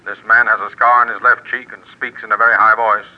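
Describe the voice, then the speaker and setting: An actor playing a clipped police dispatcher with a lowish voice, reading calls in an official monotone. It comes through a dull old radio broadcast. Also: male voice, fast talker, natural voice.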